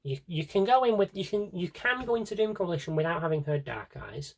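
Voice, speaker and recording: A man with a slightly husky voice chats casually as a fan showing off a collection, rambling with wry, dry asides. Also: high voice, animated, fairly quick. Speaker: audio drama collector and fan. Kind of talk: casual rambling shelf-tour vlog chat.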